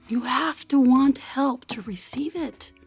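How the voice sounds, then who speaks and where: A woman playing a stern, world-weary grandmother shopkeeper in an audio drama, with a husky, breathy voice. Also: sits high, slow, soft background music, exaggerated voice. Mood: grave, resigned.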